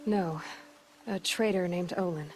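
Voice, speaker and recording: A woman's voice: fairly low pitch, unhurried, background noise, close to an everyday voice. A woman playing a determined, blunt young huntress in a video game, direct and wry. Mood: calm, resolute.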